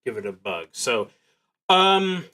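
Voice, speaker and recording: A man playing a solo tabletop roleplaying game on a stream, reading prompts aloud and thinking out loud in a halting, musing way. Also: medium pitch, very animated.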